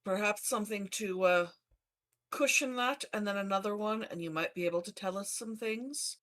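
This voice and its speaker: A woman's voice: medium pitch, normal speed, a bit bright. A woman playing a tabletop roleplaying game, asking questions in a casual, polite, tentative way.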